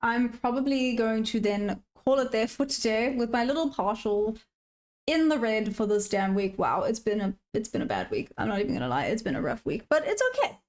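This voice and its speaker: Chatty woman streamer, fairly high voice. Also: expressive, fairly quick, darkish tone, soft background music. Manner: chatty, reads viewer questions aloud and answers them; upbeat sign-off, friendly to viewers. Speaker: day-trading live streamer. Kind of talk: casual live-stream chat while trading.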